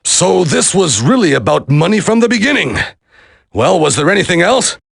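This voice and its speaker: A gruff, grave older male government secretary in a video game. His voice is raspy and heavily gravelled, a little high, with weary authority and swinging intonation. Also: sing-song, moderate pace, no room sound, clean studio sound, exaggerated voice. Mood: grave, troubled. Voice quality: gravelly, husky.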